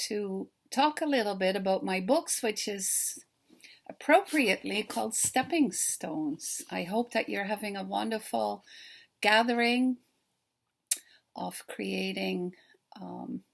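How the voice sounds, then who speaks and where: An older woman with a Dutch accent speaks in an earnest, unhurried way, as an author addressing a festival by video. Her voice sits at an ordinary pitch but carries a gravelly edge. She lingers on her words and tells her stories with care. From Netherlands. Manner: warm, earnest storytelling, slow and deliberate. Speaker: children's book author. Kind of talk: recorded video greeting to a festival.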